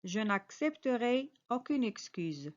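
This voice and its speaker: High-voiced teacher woman drilling phrases. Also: big pitch swings, slow, lo-fi sound. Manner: careful, clearly spoken model sentences, each repeated. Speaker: French teacher. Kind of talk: slow repeated phrase drills with quick translations.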